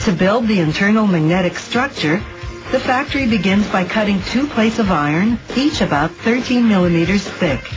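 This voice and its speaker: A woman's voice: medium pitch, lively intonation, normal speed, poor audio. Woman narrating a documentary over music. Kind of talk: scripted explanatory narration. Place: studio voice-over. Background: background music.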